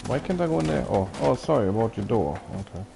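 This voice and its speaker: A man's voice: medium pitch, expressive, fast talker, vocal fry, music in the background. A man chatting casually as he livestreams a video game, often hesitant and thinking aloud. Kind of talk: casual rambling game stream commentary, chatting with viewers.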